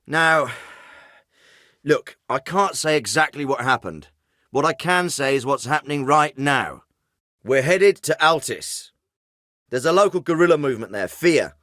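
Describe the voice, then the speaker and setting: Miller, a clipped commanding officer. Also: male voice, on the high side, fairly bright tone, no echo. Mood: dry. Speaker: military officer. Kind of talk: video game voice acting. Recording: dry studio recording.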